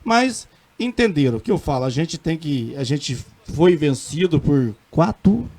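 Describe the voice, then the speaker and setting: A middle-aged man with a Minas Gerais accent speaks in a fairly high voice, earnest and emphatic as a councilman on a radio interview. He halts and restarts often but presses his points with conviction. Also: big pitch swings, unhurried, a little mellow. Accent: Minas Gerais Portuguese. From Brazil. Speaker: city councilman.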